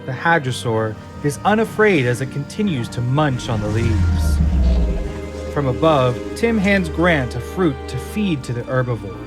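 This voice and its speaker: A man's voice: medium pitch, big pitch swings, measured pace. A man delivering dramatic scripted narration as the narrator of an audio drama. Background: music and sound effects.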